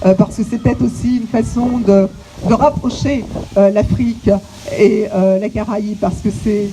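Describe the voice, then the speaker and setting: A woman from French Guiana, an exhibition curator, giving an opening speech outdoors to visitors, pausing often with hesitations and restarts. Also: medium pitch, steady tone, background noise. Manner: halting, many hesitations and restarts. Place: outdoors on an island site.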